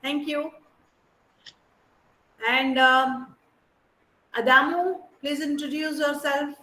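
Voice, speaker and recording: A woman's voice: high-pitched, fairly even intonation, slow, pushed and bright, echoey room, rough recording. A woman academic counselor calling on students in an online class, with hesitant fillers, on a video call. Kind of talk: leading an online class introduction.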